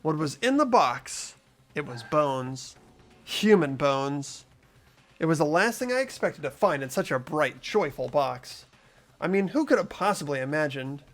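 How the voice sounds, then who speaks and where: A man voicing an earnest, easily startled teenage schoolboy in an amateur game dub, stammering and expressive. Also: fairly high pitch, a little slow, soft background music, heavily acted. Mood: shaken, trembling. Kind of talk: amateur voice acting in a visual novel playthrough.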